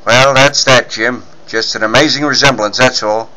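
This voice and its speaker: Man acting as fatherly newspaper editor, bright forceful tone, brisk exclamations, old-time radio drama. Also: mid-range voice, fairly even intonation, close to an everyday voice, rough recording. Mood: resigned. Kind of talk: 1940s radio serial acting.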